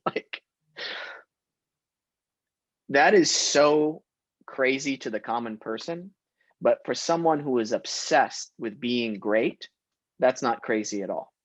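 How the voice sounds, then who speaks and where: A man's voice: medium pitch, lively intonation, takes it slowly, pauses often, no echo. A young man born in Syria, a guest actor talking casually and emphatically in an interview, laughing often.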